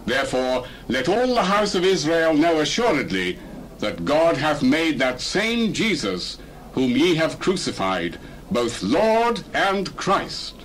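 A man's voice: fairly high pitch, very animated, slow pace, a bit bright, slight background noise, full character voice, rough recording. A male actor playing a bold, fervent apostle preaching solemnly and emphatically in a dramatized Bible reading. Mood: solemn proclamation. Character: Peter, fervent apostle preaching boldly to crowds.

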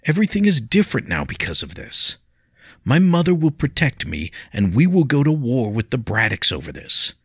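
A man's voice: low voice, animated, normal speed, vocal fry, a bit bright, breathy edge, no echo, studio quality, full character voice. A man playing a brooding, remorseful young nobleman. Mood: heated. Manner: heated, bitter, mirthless.

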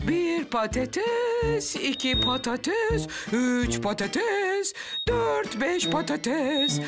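An actress plays an eager child in a cartoon. The voice is very high, slow and lilting. Very loud music plays underneath. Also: female voice, sing-song, exaggerated voice. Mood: proud, singsong. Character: eager young child performer, chanting a rhyme. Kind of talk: animated children's series dub acting.

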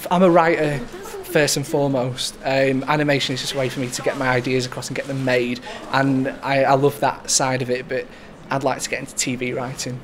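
A man's voice: mid-range voice, level tone, brisk, few pauses. A man in his twenties talking casually in an interview as a young writer and animator, in an indoor hall. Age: 20s. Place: large screening hall, indoors. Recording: faint room noise.

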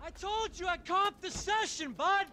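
A man's voice: extremely high pitch, normal speed, pushed and bright, a little hiss or hum, heavily acted. An actor playing a smarmy, flaky male tennis coach, placating and bro-ish, in a video game cutscene.

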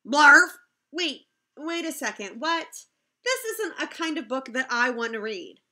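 Woman acting a shrill, exasperated storybook grown-up. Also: animated, a little slow, pushed and bright, exaggerated voice. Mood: indignant disbelief. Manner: exaggerated, protesting, theatrical. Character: exasperated, dramatic grown-up forced to read silly words aloud. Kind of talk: animated children's picture book read-aloud.

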